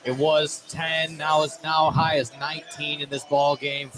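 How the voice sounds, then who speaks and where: A man's voice: fairly high pitch, little pitch movement, measured pace. A man working as a color commentator, giving loose live analysis of a basketball game between plays. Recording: noisy live gym broadcast. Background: clear gym ambience.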